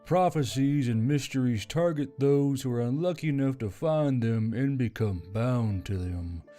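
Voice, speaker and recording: Guy doing a serious half-orc from a fantasy audiobook, mellow dark voice, measured. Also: mid-range voice, natural voice. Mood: solemn. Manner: solemn, measured. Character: Tarj, solemn muscled half-orc from another land. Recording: background music under the voice.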